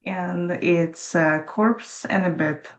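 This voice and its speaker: A woman's voice: lowish voice, slow pace. A woman interviewing an author, asking short, friendly questions.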